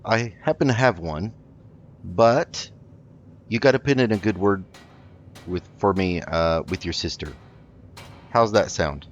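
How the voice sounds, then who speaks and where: A low-voiced man hosting a roleplay gameplay video. He talks casually and in character, polite and a little hesitant, with many pauses. The sound is dull and lo-fi, with noise behind him. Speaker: game roleplay video host. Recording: dull lo-fi mic with background noise.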